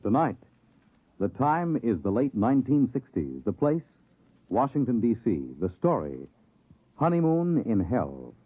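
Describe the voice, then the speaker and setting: A man announcing a 1950s radio show in a portentous, measured, scripted style. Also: mid-range voice, very animated, noisy background. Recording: dull old radio recording.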